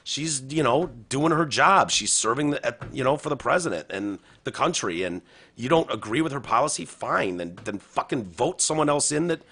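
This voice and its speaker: A man's voice: medium pitch, expressive, normal speed, bright, forceful tone, breathy edge. A man co-hosting a podcast, chatting casually about politics, swearing freely and slipping into mocking impressions. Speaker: podcast co-host.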